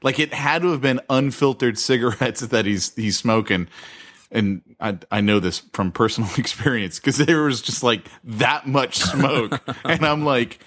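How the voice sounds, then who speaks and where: A man co-hosting a podcast, telling stories in animated casual banter and laughing as he talks. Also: medium pitch, measured pace. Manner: animated storyteller, laughs while talking. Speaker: podcast co-host.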